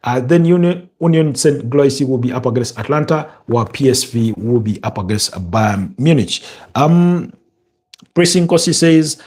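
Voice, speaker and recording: A man with a Ugandan accent hosting a live football stream, chatting in a halting way and answering viewer comments. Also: mid-range voice, expressive, a little mellow. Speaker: football show host.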